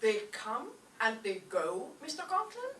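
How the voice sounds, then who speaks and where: A woman's voice: fairly high pitch, wildly swooping pitch, measured pace, a bit bright, heavily acted. A woman playing a haughty, suspicious mother with pointed, sardonic formality, in an old-time radio comedy. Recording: echoey stage, distant mic, faint noise.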